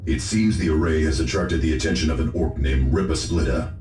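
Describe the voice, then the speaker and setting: An actor playing a stern, dutiful soldier in a video game, giving formal, measured reports. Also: male voice, rumbling low, darkish tone, roomy sound, a little hiss or hum. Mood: grave. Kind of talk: video game character acting.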